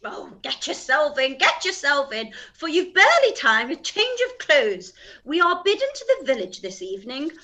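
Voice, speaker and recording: A woman's voice: high-pitched, sing-song, normal speed, bright, forceful tone, full character voice. A woman playing a fretful, self-pitying middle-aged mother in a period radio play, complaining and exclaiming melodramatically. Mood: fussing complaint.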